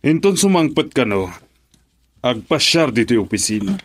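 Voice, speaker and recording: A man's voice: medium pitch, very animated, moderate pace. An actor playing a genial, encouraging older businessman father in an Ilocano radio drama, with a Filipino accent. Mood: fatherly.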